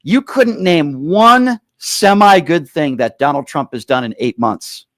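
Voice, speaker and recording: A male American talk show host debates a caller with insistence. His fairly high voice swings widely in pitch as he leans hard on key phrases and interrupts to press his questions. The sound is dry and close. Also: expressive, no room sound. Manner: emphatic, drawn-out stress on key phrases. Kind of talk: heated political call-in debate.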